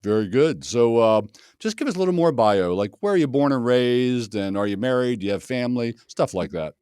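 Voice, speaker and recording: A man's voice: mid-range voice, expressive, a little fast, a little mellow, clear, resonant tone, no room sound, clean studio sound. A man, a pastor hosting an interview show, introducing his guest in a friendly, chatty way with small jokes. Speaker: pastor and podcast host.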